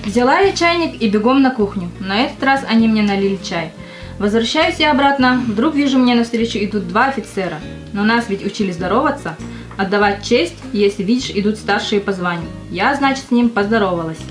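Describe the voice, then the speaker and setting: A woman's voice: fairly high pitch, animated, brisk, faint music behind, lightly acted. A woman playing a naive young army recruit, simply telling her war story in an amateur audio play. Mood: naive, storytelling. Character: young female army recruit recalling wartime mishaps.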